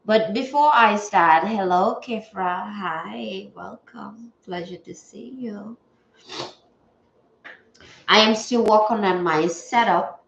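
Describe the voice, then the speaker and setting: A woman's voice: mid-range voice, slow pace, a bit bright, a little hiss or hum. A woman hosting a casual livestream, stuffy-nosed and chatty, greeting viewers as she talks. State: stuffy nose. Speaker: livestream host. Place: home setup at a table. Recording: echoey room, dull lo-fi sound.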